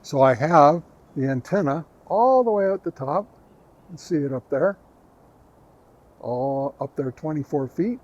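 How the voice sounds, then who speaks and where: A man hosting a casual on-location gear test, talking to the camera in a halting, conversational way. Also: a little high, lively intonation, a little mellow, highs cut off. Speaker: radio hobbyist reviewer and host. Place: outdoors, on a back deck and in a park.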